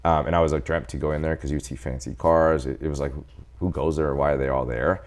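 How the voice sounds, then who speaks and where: A man in his forties from El Salvador, a doctor telling his life story as a guest in a casual interview, animated and quoting people as he goes. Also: rumbling low, fast talker. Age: 40s.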